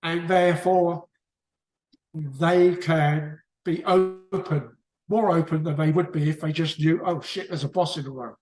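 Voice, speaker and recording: A man's voice: fairly high pitch, fairly even intonation, unhurried, darkish tone, roomy sound, rough recording. A man from the UK with a British accent, chatting casually in a book club discussion, rambling and often restarting his sentences. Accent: British English.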